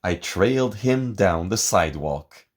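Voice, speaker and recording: A man reading a picture book aloud in a theatrical, expressive and deliberate way, as scripted narration. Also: deep voice. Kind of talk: dramatic scripted storybook narration.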